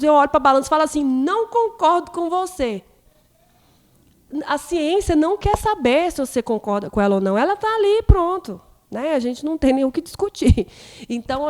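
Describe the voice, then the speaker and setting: Woman, Brazilian Portuguese accent, somewhat high pitch, casual live-stream talk, frequent restarts. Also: a little mellow. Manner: conversational, often tags lines with a questioning aside and restarts words. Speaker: speaker leading a self-development live session.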